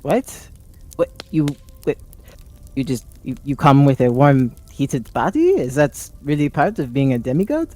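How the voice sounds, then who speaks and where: Slow-paced roleplayer with a casual, sweary manner. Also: mid-range voice, expressive, mellow, no room sound. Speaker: player in a tabletop roleplaying game. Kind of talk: improvised in-character roleplay and narration.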